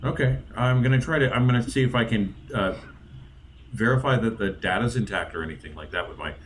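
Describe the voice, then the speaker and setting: A man playing a tabletop game in character, talking in an excited way with frequent stammers. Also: mid-range voice, a little fast, a little hiss or hum. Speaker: player. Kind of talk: casual tabletop play.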